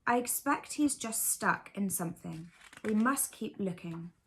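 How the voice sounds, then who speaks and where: A woman's voice: mid-range voice, expressive, a little slow, fairly bright tone, slight background noise. A woman voicing an earnest, sensible male teddy bear who saddens easily, in a bedtime storybook. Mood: insistent.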